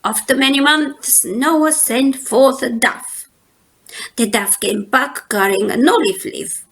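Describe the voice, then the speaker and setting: A woman reading a children's story aloud as narrator, in an expressive, unhurried storytelling manner. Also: high-pitched. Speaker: storybook reader.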